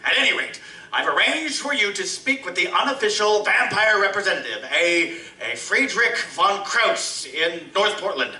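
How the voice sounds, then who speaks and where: A man's voice: high-pitched, lively intonation, moderate pace, bright, forceful tone, heavily acted. An actor playing a brusque, blustering male newspaper editor who barks orders at his reporter, recorded live on stage. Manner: brusque, barking, laughs at chaos. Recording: roomy live stage from a distance.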